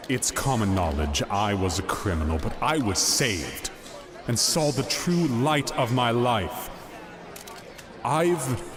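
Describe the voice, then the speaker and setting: Guy playing crooked politician doing a big slow campaign speech, audio drama, noisy crowd behind him. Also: medium pitch. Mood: solemn. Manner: oratorical, emphatic, deliberate. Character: Oswald Cobblepot, reformed criminal mastermind turned bombastic mayoral candidate. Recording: outdoor crowd noise behind.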